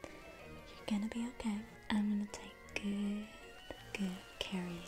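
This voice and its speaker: A young woman playing a sweetly menacing female circus clown in an ASMR roleplay, coaxing and teasing in a breathy whisper. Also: medium pitch, fairly even intonation, a little slow, lightly acted. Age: young adult. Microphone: close ASMR microphone. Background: music.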